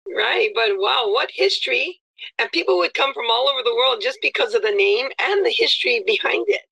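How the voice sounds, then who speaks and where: A woman's voice: a little high, animated, a little fast, gravelly, sharp tone, husky, poor audio. A woman hosting an interview, effusive and complimentary, with many fillers. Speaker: interview host. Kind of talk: friendly interview chat.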